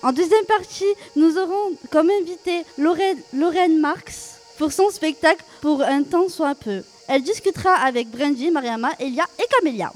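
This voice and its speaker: Une jeune femme à la voix très haute annonce le déroulé d'une émission de radio. Son débit est vif et son texte est préparé. Sa voix résonne longuement dans la pièce. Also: female voice, high voice, long reverb tail, faint music behind. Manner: brisk, scripted announcing. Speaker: co-host. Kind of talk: reading a show rundown.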